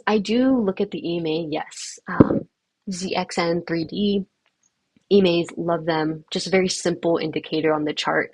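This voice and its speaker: A woman's voice: fairly low pitch, slightly rough, no echo, rough recording. A young woman whose first language is Vietnamese, hosting a livestream in casual, upbeat chat with viewers, with many fillers. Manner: chatty and upbeat, lots of fillers. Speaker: livestream host.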